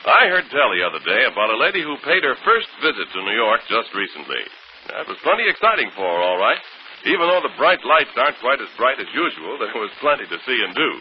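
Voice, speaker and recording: A man's voice: mid-range voice, very animated, fast talker. A man announcing in a folksy, storytelling 1940s radio commercial style. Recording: muffled, faintly noisy old radio broadcast.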